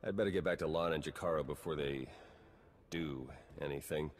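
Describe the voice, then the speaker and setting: Game spy agent, young man: low, rough-edged voice, dry sarcasm, driven, heard in long reverb. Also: a little fast. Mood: determined, wry. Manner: dry, sardonic. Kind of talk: video game cutscene acting.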